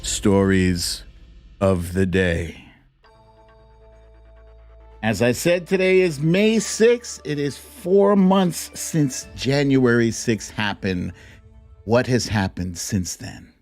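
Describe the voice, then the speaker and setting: A man hosts a live online show with music behind him. His delivery is theatrical and playful, with pitch that swings widely and a slow, drawn-out pace. He addresses his viewers directly, like a showman stretching his exclamations. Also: lively intonation, darkish tone. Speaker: host of a live streamed show. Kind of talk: live chat-show talk, greeting viewers by name.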